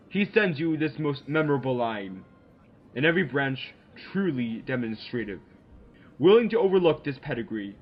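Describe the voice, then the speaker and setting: A man playing a proud English duke, an envoy delivering a king's threats in declamatory, deliberate Shakespearean radio theater. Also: mid-range voice. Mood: stern, formal. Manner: declamatory, deliberate, dramatic pauses. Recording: dull lo-fi amateur audio.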